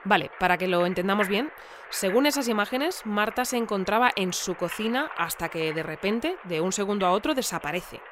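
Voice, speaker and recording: An actress playing a composed, formal presenter of a news bulletin in an audio drama. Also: female voice, medium pitch, lively intonation, a little fast, noisy background, natural voice. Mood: composed, informative. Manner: composed, formal, clearly scripted.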